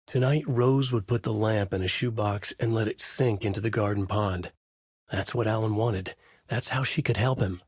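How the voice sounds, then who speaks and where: Husky, brooding novelist narrator. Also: male voice, on the low side, no echo, clean studio sound. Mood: ominous. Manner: measured, grave, dramatic delivery. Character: brooding, haunted male novelist narrating his dark thriller. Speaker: voice actor. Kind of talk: scripted noir horror narration.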